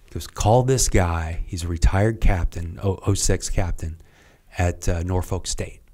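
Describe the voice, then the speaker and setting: Man, middle-aged, very deep voice, podcast guest, halting, trailing off. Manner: rambling, halting, many restarts and trailing off quietly. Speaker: podcast guest, retired Navy serviceman. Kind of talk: casual interview storytelling.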